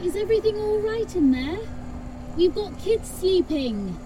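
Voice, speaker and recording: An actress playing a fretful, nosy neighbour in an audio drama. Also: female voice, very high-pitched, very animated, unhurried, over music, full character voice. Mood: worried, annoyed. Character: concerned, nosy female neighbour calling from outside. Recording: distant voice amid noisy ambience.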